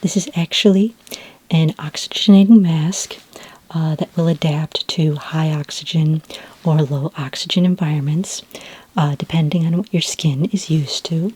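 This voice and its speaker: A woman acts out a gentle female skin care consultant in a sci-fi ASMR roleplay. Her voice is low, mellow and very breathy, often slipping close to a whisper. She explains things in an informal, slightly hesitant way, calm and reassuring. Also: measured pace. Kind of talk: soft-spoken ASMR roleplay.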